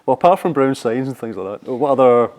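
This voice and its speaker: A man's voice: mid-range voice, lively intonation, quick pace, darkish tone. A man asking questions in a conversational interview, acting as the interviewer.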